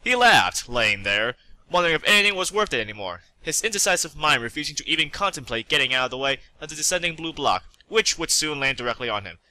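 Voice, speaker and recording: A man's voice: on the high side, sing-song, fast, sharp tone, low-quality recording. A man reading fan fiction aloud as a storyteller, with dramatic narration.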